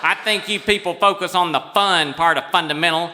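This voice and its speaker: A man's voice: high-pitched, brisk, noisy background, lightly acted. A man playing a blunt, cantankerous, unfiltered theologian in a live radio comedy sketch, ranting scornfully. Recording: reverberant auditorium stage.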